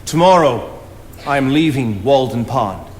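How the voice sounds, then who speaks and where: A man acts out a solitary woodland writer in a period stage play. He speaks at a middle pitch, measured and poetic. The voice echoes through a theatre with faint background noise. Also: lively intonation, natural voice. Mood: resolute. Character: Solitary, thoughtful male writer living in the woods.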